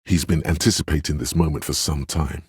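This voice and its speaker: Super low husky game narrator, gentle inner empathy voice, solemn n intimate, real dry close sound. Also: male voice, fairly even intonation, no echo, full character voice. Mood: tender, perceptive. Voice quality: very deep, gravelly, husky. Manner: measured, intimate, solemn, literary delivery. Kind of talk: dramatic scripted narration in a role-playing game.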